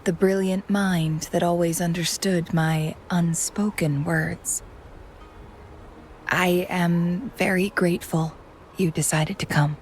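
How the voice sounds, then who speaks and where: A woman's voice: on the low side, steady tone, takes it slowly, slight background noise, low-quality recording. A woman playing a gracious, composed, subtly teasing strategist in a video game, speaking formally and politely. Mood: gracious, soft.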